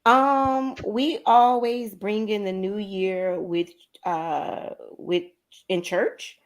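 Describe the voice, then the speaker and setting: A woman's voice: medium pitch, steady tone, drawn-out words. A woman co-hosting a talk show, chatting casually and animatedly about her day. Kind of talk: casual chat.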